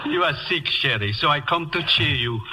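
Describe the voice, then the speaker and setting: An actor playing an adoring, eccentric insect professor who speaks eager, foreign-sounding broken English in a radio comedy. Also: male voice, a little high, lively intonation, moderate pace, pushed and bright. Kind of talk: 1940s radio comedy acting. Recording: noisy vintage radio recording with clicks.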